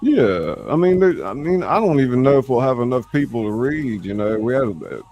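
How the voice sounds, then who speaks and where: Male, gravelly, animated pitch, welcoming livestream host, folksy, music underneath. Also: a little fast, muffled recording. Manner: welcoming, greeting listeners by nickname, folksy. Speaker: host of a live script-reading show. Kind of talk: casual livestream chat. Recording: music playing behind the voice. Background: background music.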